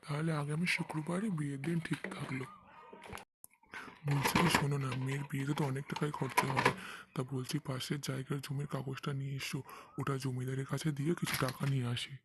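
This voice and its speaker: A man's voice: mid-range voice, little pitch movement, a little fast, lightly acted. A man voicing an earnest, plain-spoken village family man in a cartoon story. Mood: eager, obliging. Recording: noisy track with clicks.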